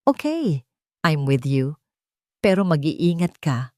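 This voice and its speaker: A woman reader puts on a loyal, cautious Filipino man in a storybook drama. He talks gravely and in a measured way, in a lowish voice with a dry sound. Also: sing-song, mellow, no room sound, exaggerated voice. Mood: worried, resigned. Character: cautious, loyal male friend of a tycoon.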